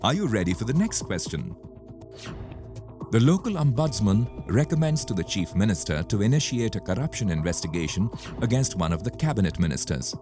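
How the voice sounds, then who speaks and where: A man hosting a quiz in a scripted presenting style, reading out questions and answer options in a deliberate, measured way. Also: lowish voice, sing-song, music in the background. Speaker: quiz show host.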